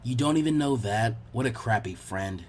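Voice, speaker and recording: A man's voice: mid-range voice, expressive, moderate pace, a little mellow, pitched below the performer's own voice, natural voice. A man voicing a stern, teasing adult male librarian in a fan fiction reading, dry and exasperated. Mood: mock displeasure.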